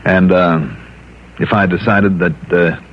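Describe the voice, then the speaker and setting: An actor playing a cool, shrewd insurance investigator in radio detective drama, with dry, probing authority. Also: male voice, low voice, fairly even intonation, slow. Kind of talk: 1950s radio detective drama acting and first-person narration. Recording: muffled, noisy old radio broadcast.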